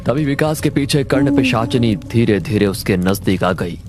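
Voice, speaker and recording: A man's voice: medium pitch, animated, moderate pace. A man narrating a scripted horror story as a storyteller. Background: loud background music.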